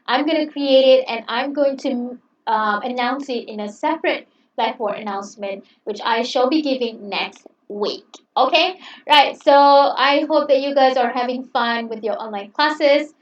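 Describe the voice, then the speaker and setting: A woman's voice: sits high, unhurried. A woman lecturer who speaks English as a second language, encouraging and chatty, often checking in with "okay". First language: not English. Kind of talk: online class introduction. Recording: roomy, distant, dull sound.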